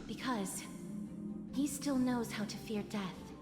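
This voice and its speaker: A woman voicing an earnest, compassionate young warrior in a video game, speaking in a measured, formal, solemn way. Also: fairly high pitch. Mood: pleading, firm. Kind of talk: dramatic video game voice acting. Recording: echoey reverb, game music behind.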